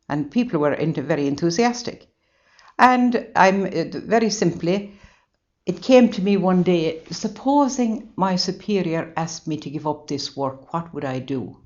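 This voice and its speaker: An older woman from Ireland with an Irish accent and a low voice tells her life story to an interviewer. She is earnest and halting, often restarting her sentences. The recording is dull and lo-fi. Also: darkish tone. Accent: Irish, Waterford. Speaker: nun. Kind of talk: interview reminiscence.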